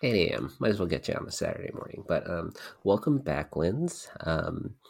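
A man's voice: low voice, very animated, normal speed, creaky, a little mellow, no room sound. A man hosting a podcast in a chatty, giggly way, with plenty of ums. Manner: chatty, giggly, lots of fillers. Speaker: podcast host. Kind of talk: casual podcast chat.

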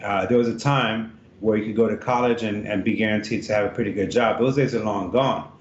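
A man from Ethiopia, a congressional candidate, answering interview questions in a halting way with many fillers and restarts. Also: steady tone. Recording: roomy, dull remote line with faint rumble.

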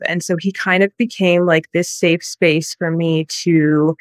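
A woman from the United States, telling her personal story as a guest in an interview, soft and reflective with many pauses. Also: fairly low pitch, no room sound, studio quality.

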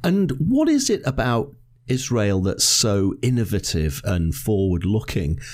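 Guy hosting a podcast, lowish voice, upbeat. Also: sing-song, measured pace. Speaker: podcast host. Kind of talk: podcast interviewing.

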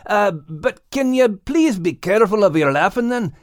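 An earnest, warm-hearted Scottish animal hero, played by a man reading an audiobook. The voice sits high and moves with lively swings. It has an adventurous storybook feel and a dry, close sound. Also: normal speed, no room sound. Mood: cheerful, cautious. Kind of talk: audiobook character acting.

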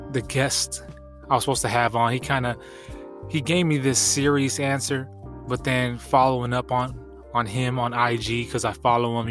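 Man, podcast host, slangy and informal, slightly stuffed-up nose, lively range not needed, faint music underneath. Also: mid-range voice. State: congested from allergies. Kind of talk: casual podcast talk.

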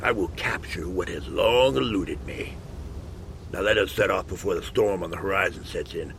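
An actor playing a gruff, boastful older hunter and former harpooner in a western audio drama, folksy and self-assured. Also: male voice, deep voice, normal speed, fairly bright tone, a little hiss or hum. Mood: ominous, resolute.

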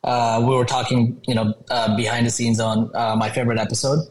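A man in his thirties from Bangladesh, a podcast guest talking in a casual, upbeat way with many pauses and restarts. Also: mid-range voice, little pitch movement, low-quality recording. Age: 30s. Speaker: podcast guest, tech company founder. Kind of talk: casual interview chat.

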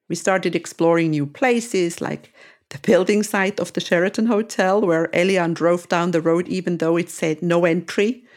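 Woman in her 60s, fairly low voice, reflective memoir podcast narration. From Switzerland. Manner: reflective, nostalgic storytelling. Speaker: podcast host.

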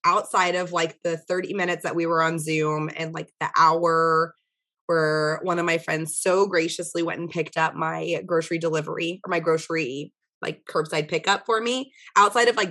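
A young woman with a hoarse, nearly gone voice, chatting casually as a podcast co-host and telling a story with lots of fillers. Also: low voice, steady tone, normal speed, bright, forceful tone. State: hoarse, voice mostly gone from illness. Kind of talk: casual chat.

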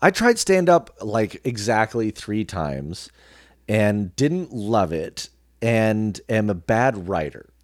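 Casting: male podcast guest, fairly low voice, informal reflective storyteller, dry close sound. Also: big pitch swings, slow pace, no echo. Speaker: interview guest, radio personality and actor. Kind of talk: casual conversational storytelling.

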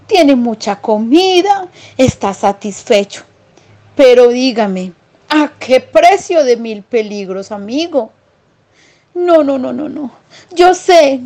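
A woman voicing a timid, poor male country mouse in a storybook tale, squeaky and fretful. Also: warm tone, full character voice.

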